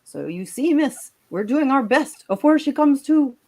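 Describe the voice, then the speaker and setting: Woman as an anxious gardener, high and animated. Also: measured pace. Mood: nervous, deferential. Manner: rustic, apologetic. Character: anxious, deferential working-class male gardener. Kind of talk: storybook live read.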